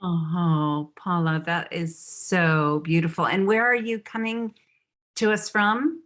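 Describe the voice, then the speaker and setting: A woman with a low voice hosts a storytelling gathering over a video call. She talks slowly, upbeat and loose, leaning on lots of fillers between thoughts. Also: steady tone, a bit bright. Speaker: host. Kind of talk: hosting a live storytelling event on a video call.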